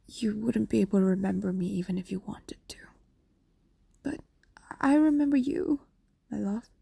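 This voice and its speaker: A woman acts out an ancient female fox demon, tender and possessive, in a fantasy audio roleplay. Her voice is mellow and very breathy, often sinking into whispers. She coaxes slowly and soothingly, close up in a dry space. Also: a little high, no echo, heavily acted. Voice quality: soft, breathy, largely whispered. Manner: slow, soothing, hesitant pauses, coaxing. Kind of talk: intimate fantasy audio roleplay, spoken to listener. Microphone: close microphone, dry.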